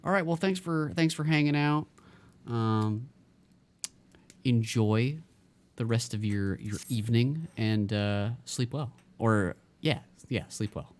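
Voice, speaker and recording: A man's voice: medium pitch, slow. A man casually commenting while he streams a video game, rambling with many stammers and fillers.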